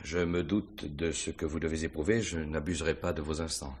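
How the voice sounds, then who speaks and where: An actor playing a measured, courteous but blunt police inspector in a radio mystery. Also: male voice, very deep voice, fairly even intonation, slightly rough. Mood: calm, grave. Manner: measured, formal, polite. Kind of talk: 1950s-style French radio mystery acting.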